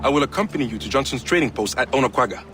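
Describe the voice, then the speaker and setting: An actor playing an urgent, earnest and loyal soldier comrade in a dramatic game cutscene. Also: male voice, medium pitch, expressive, rapid-fire, over music, close to an everyday voice. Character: urgent, loyal soldier comrade bringing grim war news.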